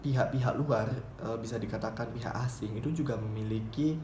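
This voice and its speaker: A young man gives a semi-formal student presentation, explaining in a halting way and often repeating or correcting his phrases. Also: medium pitch, a little mellow. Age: young adult. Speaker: university student presenting a paper. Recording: roomy echo, faint background noise.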